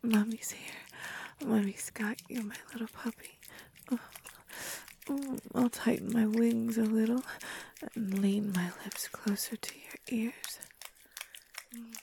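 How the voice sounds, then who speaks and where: A woman voicing a doting, motherly female creature with wings and a tail, in a soft, breathy, soothing whispered ASMR roleplay. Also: fairly high pitch, steady tone, takes it slowly, long pauses, slight background noise. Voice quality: breathy, husky.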